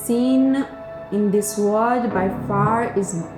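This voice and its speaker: A young woman from Pakistan whose first language is Urdu, a doctoral researcher speaking reflectively about her life, with pauses and fillers. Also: medium pitch. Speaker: PhD researcher. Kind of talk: personal documentary narration. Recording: roomy with music underneath.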